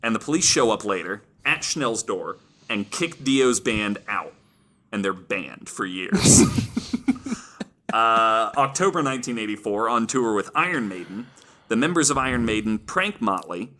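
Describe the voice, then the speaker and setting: A man's voice: lowish voice, lively intonation, measured pace, pushed and bright. A man hosting a casual podcast, telling stories from his notes in an informal, amused, sweary way. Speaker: podcast host.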